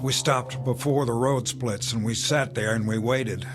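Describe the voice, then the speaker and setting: A man's voice: medium pitch, fairly even intonation, a little slow, close to an everyday voice. Man playing army captain, grim, authoritative, intense, weary, radio drama, prominent music underneath. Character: weary, commanding army captain, grimly brooding over casualties. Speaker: actor.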